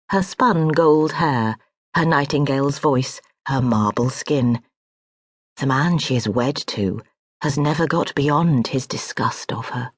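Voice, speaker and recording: An audiobook narrator reading historical fiction in a measured, even storytelling manner. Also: mid-range voice, no echo. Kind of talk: scripted literary narration. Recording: dry close studio audiobook.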